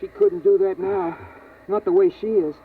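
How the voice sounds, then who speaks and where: A man's voice: high voice, fairly even intonation, normal speed, slight background noise, natural voice. A young male actor playing an earnest, wondering boy in a 1950s radio drama, with plain, homespun phrasing. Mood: worried. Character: earnest, wondering young boy narrating a bleak frozen world. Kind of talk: 1950s radio drama acting and first-person narration. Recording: tinny vintage radio recording with music.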